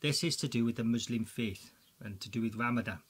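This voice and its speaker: A man with a Welsh accent presents a school quiz for children in a chatty, excitable way, full of jokey asides. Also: medium pitch, fairly quick, breathy edge. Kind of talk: playful quiz commentary for children.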